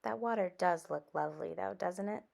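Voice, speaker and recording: A woman reading a children's story aloud in an expressive way, with animated asides addressed to young listeners. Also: on the low side, a little fast, creaky. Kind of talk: expressive picture-book read-aloud.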